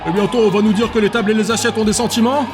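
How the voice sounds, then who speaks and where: A man plays a jeering heckler in an audio drama, very high-pitched and quick. He is loud and scornful, amid crowd clamour and music. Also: fairly even intonation, close to an everyday voice. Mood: mocking. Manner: mocking, loud.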